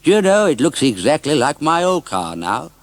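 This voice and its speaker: Man putting on an earnest car owner, swooping pitch. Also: sing-song, moderate pace, no echo. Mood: wistful, musing. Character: earnest, excitable male vintage-car owner.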